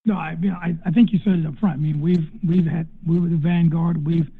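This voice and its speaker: A man answering questions as a company executive on an earnings call, speaking haltingly and repeating words. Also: fairly high pitch, fairly even intonation, vocal fry, breathy edge. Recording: dull band-limited conference call audio.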